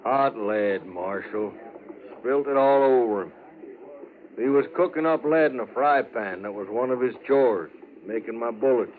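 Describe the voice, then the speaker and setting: An actor playing a callous, gruff buffalo hunter with a rural American accent in a 1950s radio western. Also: male voice, mid-range voice, a little slow, phone-quality sound, close to an everyday voice. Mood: evasive. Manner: gruff, dismissive. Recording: thin, noisy old 1950s radio recording.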